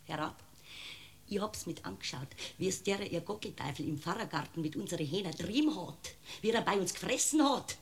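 A woman's voice: lowish voice, animated, fairly quick, gravelly, breathy edge, heavily acted. An actress playing an indignant, gossipy village woman with a Bavarian accent in a comic radio play, talking on self-righteously. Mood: defensive, indignant. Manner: voluble, self-righteous, complaining. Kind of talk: comic radio courtroom drama.

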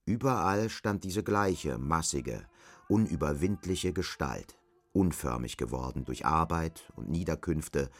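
Deep-voiced male literary narrator. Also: lively intonation, unhurried. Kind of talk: scripted literary narration for radio drama.

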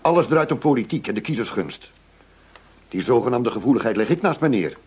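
An actor playing an earnest, driven middle-aged police chief inspector in a radio drama, speaking formally, insistently and with measured phrasing. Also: male voice, medium pitch, animated, darkish tone, slightly rough, muffled recording. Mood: determined, insistent.

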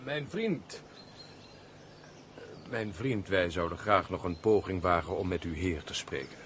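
An ardent, low-voiced aristocratic officer. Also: male voice, animated, unhurried, a bit bright, slight background noise. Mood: polite, probing. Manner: earnest, formal, fervent. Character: ardent, lovesick aristocratic major, earnest and impulsive. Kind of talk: Dutch radio play, period stage dialogue.

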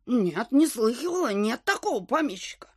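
A woman's voice: a little high, big pitch swings, normal speed, husky. An actress playing a fussy old widowed landlady in an audio drama, with a folksy, motherly manner. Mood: matter-of-fact. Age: older. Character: fussy old provincial widowed landlady, hospitable and simple-minded. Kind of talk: theatrical audio drama acting.

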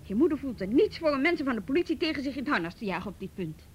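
A woman's voice: high voice, very animated, rapid-fire, slightly rough, heavily acted. An actress playing a frank, firm woman mediating in a radio drama, reasoning directly. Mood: firm, negotiating. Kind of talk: Dutch radio drama acting.

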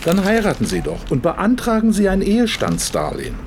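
Casting: meddling older informer, mid pitch, sharply swinging intonation, faint noise, radio play. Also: male voice, huge pitch swings, brisk, heavily acted. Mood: prodding. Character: gruff, prying grey-haired gentleman, menacing informer. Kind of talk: radio drama dialogue.

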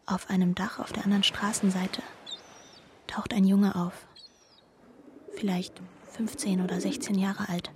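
A woman acts a wistful, tender young woman in a radio play. She speaks in a hushed, breathy, dreamy tone with very little melody. Faint birdsong-like ambience and cooing sit behind her. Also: mid-range voice, flat delivery, unhurried, pauses often, noisy background, natural voice. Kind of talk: literary radio drama monologue.

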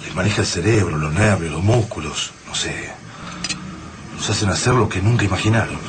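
An actor playing a troubled but resolute survivor in a radio drama, halting and tense, with an Argentine accent. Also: male voice, low-pitched, background noise, close to an everyday voice. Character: Juan, troubled, resolute man surviving an invasion.

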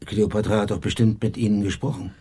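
Casting: radio-play investigator, deep-set husky voice, courteous yet insistent questioner. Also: male voice, fairly even intonation, fast, dark tone. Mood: quietly insistent. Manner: polite, insistent, matter-of-fact questioning. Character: probing, persistent male investigator on a case. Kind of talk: radio crime drama dialogue.

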